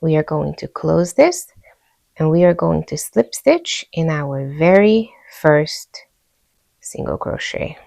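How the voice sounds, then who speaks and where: A woman with a Hungarian accent guides listeners through a craft tutorial. She speaks very slowly, in a soft-edged, mellow tone with a fairly low pitch. Her delivery is informal and easygoing, like a patient demonstration done step by step. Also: animated, vocal fry. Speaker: host of a crochet tutorial channel.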